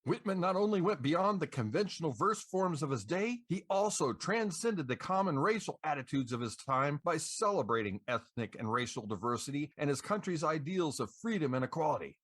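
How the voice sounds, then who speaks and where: A man's voice: fairly high pitch, lively intonation, brisk, few pauses, a bit bright, no echo, clean studio sound. An American man co-hosting a show, delivering scripted, playful DJ-style banter and introductions. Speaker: podcast co-host.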